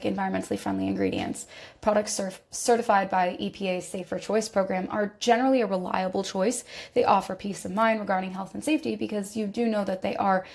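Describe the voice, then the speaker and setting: A woman's voice: mid-range voice, darkish tone. A woman hosting a podcast in a conversational way, her voice scratchy from a recent illness and a lingering cough. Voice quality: scratchy, gravelly voice. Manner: conversational, with fillers and self-corrections. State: recovering from illness, slight cough. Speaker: podcast host. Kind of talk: casual informational podcast.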